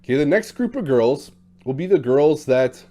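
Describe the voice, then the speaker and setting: Dark-voiced blunt man talking dating. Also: a little high, lively intonation, normal speed. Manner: talkative, blunt, acts out quotes in shifting voices. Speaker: dating coach. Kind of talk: casual monologue to camera.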